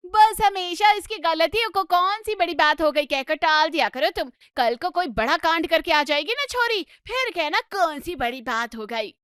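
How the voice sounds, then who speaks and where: A woman playing an excitable, gossipy, scolding middle-aged housewife and mother in a comedy story, with a dramatic, exclamatory delivery. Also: very high-pitched, normal speed, few pauses, dry recording, studio quality. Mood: scolding, exasperated.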